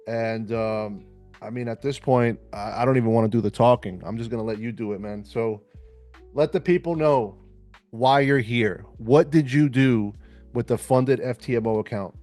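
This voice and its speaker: A man's voice: medium pitch, moderate pace. Easygoing guy hosting a podcast, keeps saying man, light music behind. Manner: relaxed, hypes up the guest, says "man" a lot. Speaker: podcast host and trading mentor. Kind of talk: casual interview chat.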